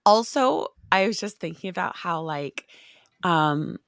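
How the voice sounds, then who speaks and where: A woman co-hosting a podcast with a hoarse, cracking voice from losing it, chatting and teasing her sister. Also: fairly low pitch, animated, measured pace, no echo, clean studio sound. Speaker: podcast co-host. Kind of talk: casual chat between sisters.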